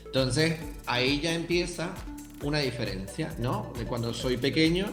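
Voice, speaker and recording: A man's voice: a little high, animated, normal speed, reverberant, music in the background. A young man with a Canarian accent, a writer thoughtfully answering interview questions and speaking directly to the listeners. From Canary Islands, Tenerife. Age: young adult.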